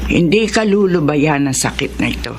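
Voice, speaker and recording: A woman's voice: sits low, expressive, moderate pace. An actress playing a bitter, sick, wealthy older woman in a radio drama. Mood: bitter resignation. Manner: bitter, accusing.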